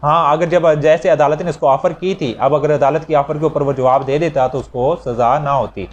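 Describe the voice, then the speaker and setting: A man's voice: fairly high pitch, fairly even intonation, normal speed, highs cut off. A man with a Pakistani accent giving an explanatory law lecture in a conversational, repetitive way, mixing in English legal terms. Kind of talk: explanatory lecture with examples, alternating with reading statute text aloud. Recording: noisy recording with background ambience.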